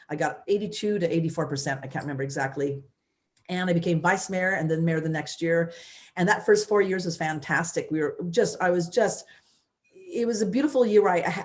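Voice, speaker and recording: A woman in her fifties from the United States, a former mayor, telling her story in a loud, energetic way with many restarts. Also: lowish voice. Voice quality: loud voice, big personality. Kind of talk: casual interview storytelling.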